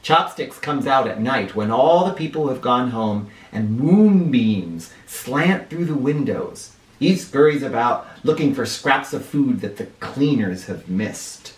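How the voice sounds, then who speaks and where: A man reading a picture book aloud to children in an expressive teacher's manner, pausing to explain. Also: medium pitch. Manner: animated, with explanatory asides. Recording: roomy sound, distant microphone.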